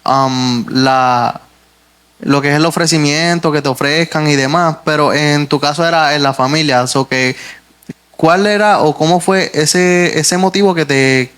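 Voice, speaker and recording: Man, Puerto Rican accent, podcast host, somewhat high voice, earnest and reflective. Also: a little slow, fairly bright tone, clear, resonant tone.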